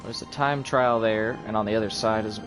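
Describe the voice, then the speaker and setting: A man's voice: fairly low pitch, fairly even intonation, a little fast, a bit bright. A man giving casual live commentary on a video game, thinking aloud as he plays. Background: video game music.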